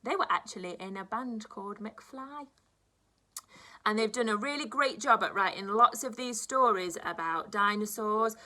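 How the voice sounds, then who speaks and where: A woman, a primary school teacher, reading aloud to young children in an expressive storybook style with dramatic emphasis. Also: mid-range voice, moderate pace.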